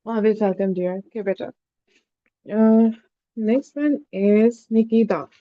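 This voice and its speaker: A woman teaching an online English class, giving students warm feedback and calling them dear. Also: mid-range voice, steady tone, slow pace, dry recording, narrow, tinny audio. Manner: encouraging, affectionate, calls students "dear". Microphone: online call.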